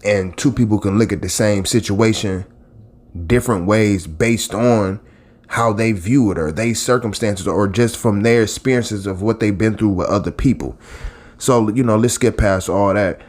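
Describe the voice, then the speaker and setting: A man's voice: fairly low pitch, moderate pace, darkish tone. A man in his late thirties, a channel host, talking casually and at length to his audience in a rambling, conversational way. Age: late 30s. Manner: rambling and conversational, with many restarts and asides. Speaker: YouTube blogger and channel host. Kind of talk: casual talk straight to camera.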